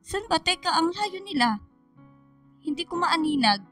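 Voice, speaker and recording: A woman's voice: extremely high pitch, sing-song, normal speed, fairly bright tone, heavily acted. A woman playing a headstrong, pouty teenage daughter with a Filipino accent in a radio drama, whiny and argumentative. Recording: music bed underneath.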